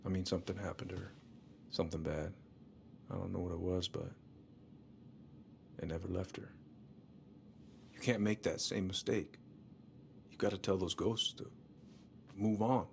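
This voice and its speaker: Gravelly middle-aged uncle, earnest, halting, caring, video game drama, slight background noise. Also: male voice, deep voice, steady tone. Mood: firm, concerned. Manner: earnest, halting, repeats words. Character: concerned, gentle middle-aged uncle consoling his niece.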